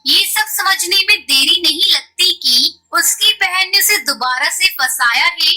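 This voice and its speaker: A woman's voice: very high voice, unhurried, sharp tone, poor audio. A woman narrating a scripted children's cartoon story as the storyteller.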